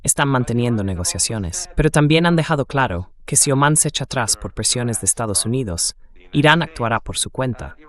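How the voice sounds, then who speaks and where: A man's voice: mid-range voice, animated, a little fast, fairly bright tone. A man explaining political developments in a synthetic dubbed translation voice.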